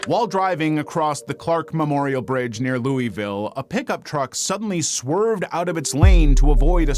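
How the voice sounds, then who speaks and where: Man narrating true crime, lively higher-pitched voice. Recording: faint music bed under narration. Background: background music.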